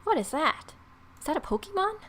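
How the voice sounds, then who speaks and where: A woman's voice: very high-pitched, big pitch swings, brisk, fairly bright tone, slight background noise. A young adult woman hosting a gaming video, reading a visual novel aloud and adding her own asides.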